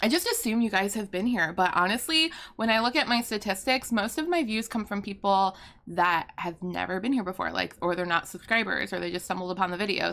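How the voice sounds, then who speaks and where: A young American woman chats in a bright, upbeat voice with a slight hoarseness. She rambles cheerfully, full of ums and asides, into a desk mic at home. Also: mid-range voice. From United States. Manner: bubbly, chatty, rambling with fillers. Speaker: YouTuber and streamer. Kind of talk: casual chat over a building video. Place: home room with pastel backdrop and fairy lights.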